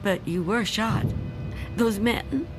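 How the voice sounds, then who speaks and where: An actress playing a frightened, fragile old woman in a radio drama, tremulous, pleading and halting. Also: medium pitch, music in the background, lightly acted, low-quality recording. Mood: stunned. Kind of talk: dramatic radio play acting.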